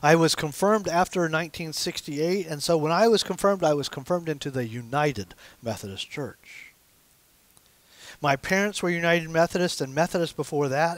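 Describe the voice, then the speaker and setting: A man's voice: a little high, no echo. A man in his sixties, a pastor giving a sermon at the pulpit of a small church, speaking in a conversational way and gesturing as he talks. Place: small church sanctuary with stained glass windows.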